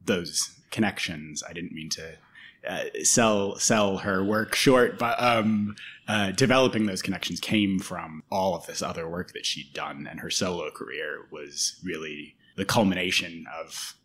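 A man hosting a podcast interview, keen in his remarks and trailing off softly at the end of thoughts. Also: sits low, measured pace, a little hiss or hum. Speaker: podcast host. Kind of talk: casual interview chat.

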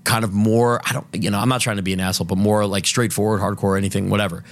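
A man with a somewhat low voice co-hosts a casual podcast. He rambles through stories with plenty of fillers and swearing. His delivery stays loose and conversational. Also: little pitch movement, racing, a little hiss or hum. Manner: loose, profane storytelling with many fillers. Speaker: podcast co-host. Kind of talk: casual chat.

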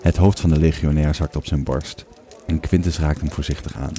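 A man's voice: a bass voice, steady tone, fairly quick, mellow. A man acting as the composed storyteller of a Roman tale, narrating a scripted audio drama in the third person. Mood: measured narrating.

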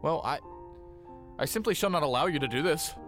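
An actor playing a flustered, pious small-town reverend in an audio drama, protesting in halting, formal speech. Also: male voice, medium pitch, animated, soft background music, natural voice. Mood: helpless protest. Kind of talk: audio drama acting, period dialogue.

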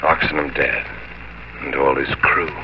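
A man's voice: a bass voice, steady tone, unhurried, vocal fry, a little hiss or hum, heavily acted. A man playing a brave, grave Elizabethan sea captain in a 1950s radio drama, speaking in a stately, resolute way. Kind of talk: 1950s radio drama acting, first-person narration. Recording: muffled 1950s radio broadcast with music.

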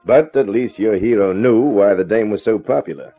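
An actor playing a cool, laconic private eye in a 1950s radio crime drama. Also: male voice, on the low side, moderate pace, husky, faint music behind. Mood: wry narration. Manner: laconic, unflappable. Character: cool, wisecracking hardboiled American private eye. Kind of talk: 1950s radio crime drama, first-person narration and dialogue. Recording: dull old radio recording.

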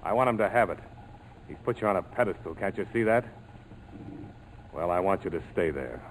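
A cool, commanding American major in a 1940s drama. His voice is low but moves about animatedly, wry and persuasive, over faint noise from the old broadcast. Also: animated, brisk, poor audio. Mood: wry, pressing. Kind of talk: 1940s radio acting.

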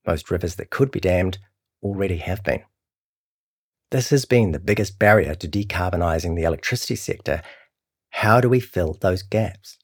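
Low, clear-spoken New Zealand male narrator. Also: lively intonation, normal speed. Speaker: narrator reading a long-form article. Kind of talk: scripted documentary narration.

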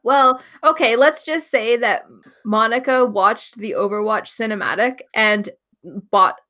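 A woman's voice: fairly high pitch, unhurried, pushed and bright. Nasal, congested young woman co-hosting. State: congested from being sick. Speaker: podcast co-host. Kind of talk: casual podcast chat.

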